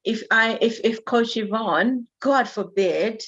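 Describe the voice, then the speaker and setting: A woman's voice: fairly high pitch, fairly bright tone, husky. Woman from Zimbabwe, relationship coach, conversational, slightly dull lo-fi sound. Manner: conversational, affirming, quick back-channels. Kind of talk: panel discussion.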